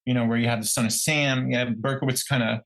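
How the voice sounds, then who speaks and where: A man co-hosting a true crime podcast, talking casually in a halting, musing way as he thinks out loud. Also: mid-range voice, fairly even intonation. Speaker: podcast co-host.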